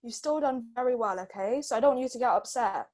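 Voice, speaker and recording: Female tutor, very high voice, supportive and reassuring, guiding a young pupil one-on-one. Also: fast, darkish tone. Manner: encouraging, reassuring.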